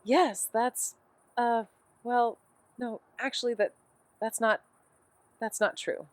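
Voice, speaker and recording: A woman's voice: a little high, fairly even intonation, slow, clear, resonant tone, no room sound. An actress in her twenties playing a cocky, playful amateur sleuth in an audio drama, glib and given to rambling, dramatic overexplaining. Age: 20s. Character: cocky, playful, self-taught young woman amateur sleuth. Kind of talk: naturalistic audio drama banter.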